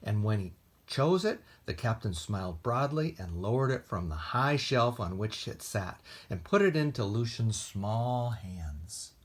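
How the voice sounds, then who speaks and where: A man's voice: lowish voice, sing-song, a little slow. A man reading a story aloud for children as a storytime host, expressively. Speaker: library storytime host and reader.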